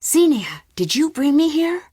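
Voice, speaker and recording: A woman's voice: sits high, sing-song, unhurried, mellow, no echo, studio quality. A woman voicing an earnest, alarmed young detective held captive in a video game. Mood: alarmed, pleading.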